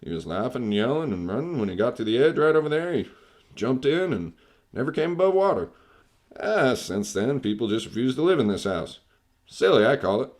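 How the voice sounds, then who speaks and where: A man's voice: mid-range voice, big pitch swings, brisk, darkish tone, close to an everyday voice. A man playing a matter-of-fact real estate agent who dismisses ghosts, telling things in a plain, folksy way in radio drama style. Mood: dismissive, businesslike.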